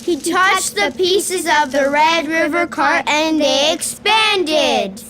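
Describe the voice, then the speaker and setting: A child of about nine or ten reading scripted narration for a radio drama, carefully and deliberately. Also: very high-pitched, a little hiss or hum. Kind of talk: scripted narration, read-aloud storytelling. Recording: music behind the voice. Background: loud music.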